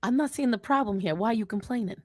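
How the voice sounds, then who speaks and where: A woman's voice: a little high, fast, a little mellow, dry recording, close to an everyday voice. A woman voicing a cocky young fire-mage from the sixties, talking in sassy, blustering slang on an improvised livestream. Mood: teasing, sassy.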